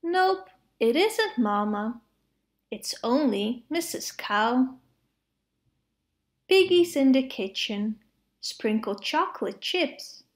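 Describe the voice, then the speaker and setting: A woman reading children's picture books aloud to preschoolers in an expressive, measured way. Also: sits high. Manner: expressive, measured phrasing with playful sound words. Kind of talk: storybook read-aloud for preschoolers.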